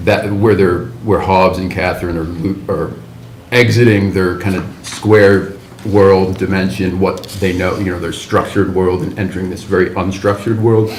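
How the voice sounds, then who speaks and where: Low-voiced guy rambling thru script notes, echoey room, a bit of hiss. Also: fairly even intonation, normal speed, a little mellow. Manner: thinks aloud, rambling with restarts. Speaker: writers' group member giving feedback. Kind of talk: casual critique discussion. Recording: roomy table recording, faint background noise.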